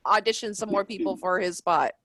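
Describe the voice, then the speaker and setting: A woman's voice: medium pitch, fairly quick, sharp tone, no echo. A woman, an improv performer, in casual joking chat with castmates.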